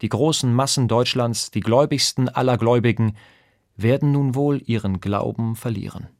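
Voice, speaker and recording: A man's voice: medium pitch, moderate pace, a little mellow, no room sound, studio quality. A man giving a formal, declarative scripted reading of a news commentary. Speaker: reader of historical radio texts.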